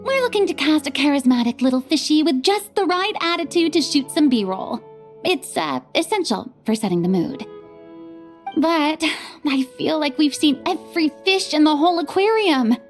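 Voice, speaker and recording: A woman playing a theatrical, exuberant young film director in an anime video game, with an expressive delivery. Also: high-pitched, normal speed. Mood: theatrical, musing. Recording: faint music behind.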